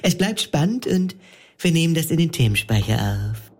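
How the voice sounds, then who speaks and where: An actor playing a hesitant group coach in a radio comedy sketch, hemming and spouting jargon. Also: male voice, on the high side, big pitch swings, normal speed. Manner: hesitant, full of filler sounds.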